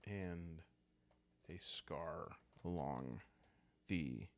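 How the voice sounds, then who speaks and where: Male solo RPG host, ASMR storytelling: deep, unhurried, calm, musing, hesitant. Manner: hesitant, musing, thinking aloud. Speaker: solo roleplaying game host.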